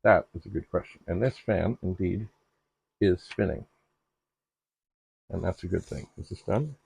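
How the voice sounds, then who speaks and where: A middle-aged man narrating a casual hands-on demonstration, musing haltingly aloud to himself with droll, slightly formal turns of phrase. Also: low-pitched, gravelly, a little mellow, breathy edge, no echo. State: frequent coughing and throat clearing. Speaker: retro computer hobbyist and video host.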